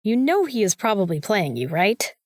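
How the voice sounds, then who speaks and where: A woman's voice: medium pitch, very animated, normal speed, very noisy, natural voice. A young woman acting in an audio drama as a bossy, sarcastic student who talks in an opinionated, emphatic way. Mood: scolding, sarcastic. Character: bossy, sarcastic young female student, vampire slayer's sidekick.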